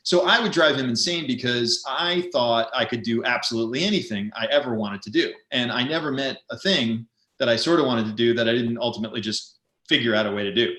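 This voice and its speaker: A man's voice: mid-range voice, fairly quick. A man in his fifties talks through a casual webinar, loose and rambling, with a dry streak of self-mockery. His voice bounces around an echoey room. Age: 50s. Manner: relaxed, rambling, self-deprecating humor. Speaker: co-host of an online business event.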